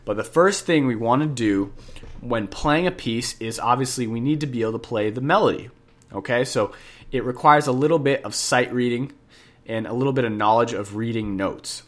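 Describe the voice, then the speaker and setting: A man's voice: medium pitch, normal speed. A man hosting a podcast lesson on jazz piano, talking casually with a stuffed-up nose, repeating key points and correcting himself as he goes. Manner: chatty, repeats points for the listener, self-corrects.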